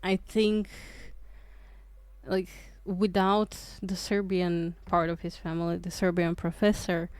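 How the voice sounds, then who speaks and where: A woman's voice: lowish voice, little pitch movement, slow, darkish tone, clear, resonant tone, no echo. A woman from Croatia, chatting casually on a livestream, hesitant and rambling with lots of fillers and restarts. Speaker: streamer.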